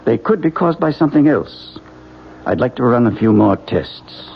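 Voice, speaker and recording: A cautious, kindly family doctor in old radio drama. His creaky voice moves in lively, emphatic swings. The sound is muffled. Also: medium pitch, moderate pace, slight background noise. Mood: cautious, firm. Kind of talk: 1970s radio drama acting.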